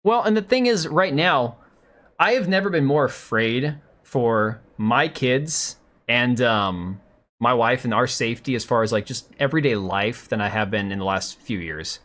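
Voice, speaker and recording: An American man in his thirties hosting a live stream, ranting in a loose, sarcastic, rambling way with a hoarse voice. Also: mid-range voice, expressive, normal speed, fairly bright tone. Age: 30s. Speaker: livestream host. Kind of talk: casual live commentary rant.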